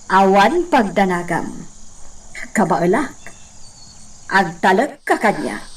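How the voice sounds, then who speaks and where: A woman's voice: medium pitch, sing-song, slow, breathy edge, exaggerated voice. A woman with an Ilocano accent playing a determined lady taking charge of a hacienda in a radio drama. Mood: reassuring.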